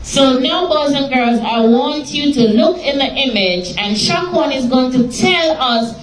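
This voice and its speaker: A woman's voice: sits high, expressive. A woman teacher reading a story aloud to a class and patiently quizzing her pupils in a classroom. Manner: slow, patient questioning of pupils. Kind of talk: classroom lesson and read-aloud. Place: classroom full of children. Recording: echoey room, mic far off, class chatter.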